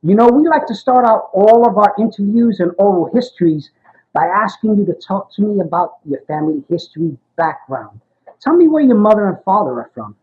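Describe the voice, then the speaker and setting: Male archivist interviewer, friendly and affirming, slightly high voice. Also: expressive, a little fast, phone-quality sound. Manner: friendly, affirming, keeps saying "got it" and "awesome". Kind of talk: oral history interview.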